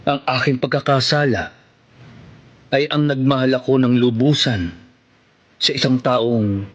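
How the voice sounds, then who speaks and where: A man's voice: medium pitch, fairly even intonation, measured pace, muffled recording, close to an everyday voice. A man acting a heartbroken friend who narrates his hopeless love earnestly in a Tagalog radio drama. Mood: sorrowful, wistful. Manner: sorrowful, earnest. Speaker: narrating character.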